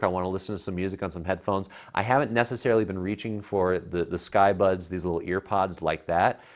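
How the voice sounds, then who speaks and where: A man in his thirties giving a casual, emphatic and opinionated product review as a co-host. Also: on the low side. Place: living room by a fireplace, indoors. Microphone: lapel mic.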